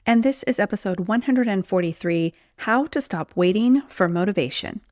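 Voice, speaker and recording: A woman's voice: medium pitch, animated, normal speed, a little mellow. A woman hosting her own podcast as a weight loss coach, talking in a chatty, conversational way, her voice a little off from being sick. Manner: chatty, conversational, says "like" and "um" often. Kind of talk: solo podcast talk.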